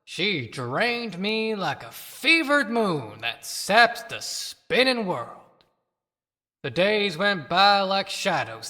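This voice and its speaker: A woman's voice: medium pitch, pushed and bright, full character voice. A woman playing a bitter, haunted dead man, delivering a dramatic poetic monologue in an audio play. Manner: dramatic, declamatory, deliberate. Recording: long hall reverb.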